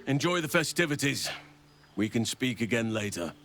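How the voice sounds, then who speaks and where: An actor playing a kindly old druid in a video game, speaking in a measured, reflective way with formal wording. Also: male voice, medium pitch, very animated, a bit bright, faint music behind. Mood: fond, pleased. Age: older. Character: gentle, kindly old druid man, wise and fond of children. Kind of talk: video game character dialogue.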